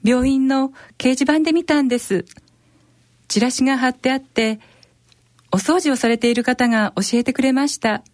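女性が演じる high-voiced, anxious wife. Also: female voice, moderate pace, darkish tone, no echo, studio quality, natural voice. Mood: polite, reserved. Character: anxious married female cancer patient, feeling misunderstood. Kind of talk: amateur radio drama acting.

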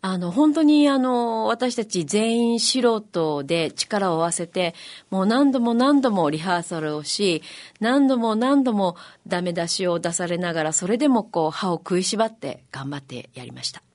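A woman guest being interviewed, explaining politely and haltingly with many filler words. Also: medium pitch, lively intonation, a little mellow. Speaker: guest, amateur musical performer.

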